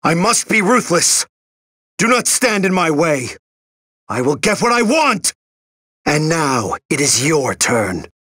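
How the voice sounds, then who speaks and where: A man voicing a ruthless, anguished undead warrior in a video game, grim, intense and husky. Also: on the high side, very animated, slow, long pauses, bright, forceful tone, dry recording, clean studio sound, heavily acted. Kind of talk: video game battle acting.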